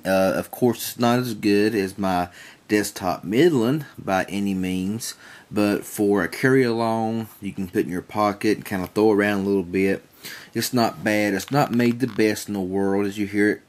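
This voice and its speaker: A man giving a casual product demonstration in a plain-spoken, folksy, rambling and repetitive way. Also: on the low side. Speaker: reviewer demonstrating a small weather radio.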